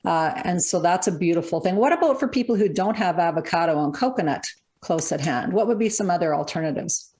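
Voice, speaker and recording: A woman's voice: lowish voice, expressive, fairly quick, a little mellow. A woman hosting a podcast interview, affirming her guest and speaking directly to listeners. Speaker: podcast host.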